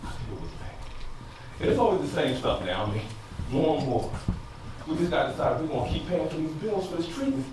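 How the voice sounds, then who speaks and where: A man's voice: fairly high pitch, very animated, fast talker, noisy background. Guy acting a frustrated anguished husband in a stage play, real echoey and far from the mic, emotional monologue. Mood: weary, frustrated. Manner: emotional, swinging from quiet confiding to loud outbursts. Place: stage in a large indoor room.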